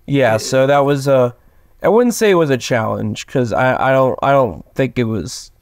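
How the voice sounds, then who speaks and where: Young male actor as interview guest; clean, resonant tenor lowered by sleep, hesitant casual talk, close dry sound. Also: expressive, moderate pace, dry recording. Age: young adult. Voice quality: tenor, lowered from just waking up. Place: plain small room indoors, video call.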